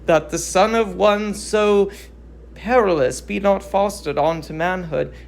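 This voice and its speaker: A performer playing a reluctant, troubled male Greek herald, halting and grave, in a dramatic reading of tragedy. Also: medium pitch, vocal fry.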